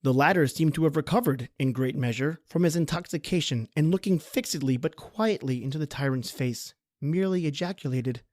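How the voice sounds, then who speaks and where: A man's voice: fairly high pitch, brisk, few pauses, darkish tone, dry recording, clean studio sound. A man narrating a scripted horror story in a dramatic radio-play style.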